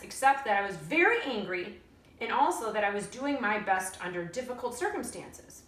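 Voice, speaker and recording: Lively woman reading aloud in an echoey room. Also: mid-range voice. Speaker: teacher reading a chapter book aloud. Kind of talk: expressive read-aloud storytelling. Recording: roomy echo, distant mic.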